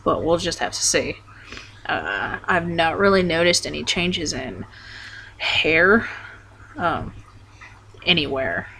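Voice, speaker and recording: Slow, hesitant young man with a bright voice. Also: big pitch swings, vocal fry, slight background noise, low-quality recording. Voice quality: voice wobbles between deeper and higher. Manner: hesitant, rambling, full of ums and restarts. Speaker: vlogger. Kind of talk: casual video update.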